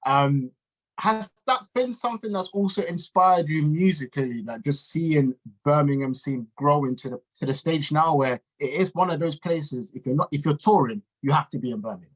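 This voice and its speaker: A man's voice: on the high side, normal speed, poor audio. A man hosting a casual interview, talking in an informal, slangy, encouraging way. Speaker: interviewer and show host. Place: remote video call.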